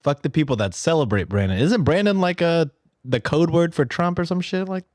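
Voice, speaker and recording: A man's voice: on the high side, expressive, fairly quick, a little mellow, dry recording, studio quality. A man co-hosting a podcast with casual, profane, joking banter. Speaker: podcast co-host.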